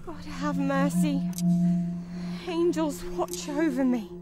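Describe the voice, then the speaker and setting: A terrified young woman held captive, high-pitched and near tears. She pleads very slowly, in radio drama style, under loud music. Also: fairly even intonation. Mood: terrified, praying.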